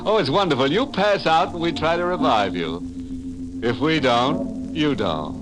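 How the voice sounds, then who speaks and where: A man's voice: a little high, expressive, unhurried, noisy background. A man acting as a gleefully sinister host of a 1940s radio horror show, theatrical and mock-jovial. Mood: ghoulish glee. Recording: old radio recording with music.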